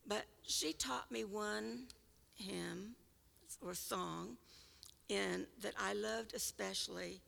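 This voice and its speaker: An older woman from California with a raspy voice, unhurriedly telling a personal story about her childhood church. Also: medium pitch, fairly bright tone, big-room sound. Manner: unhurried, reflective, with small restarts. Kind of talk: personal reminiscence.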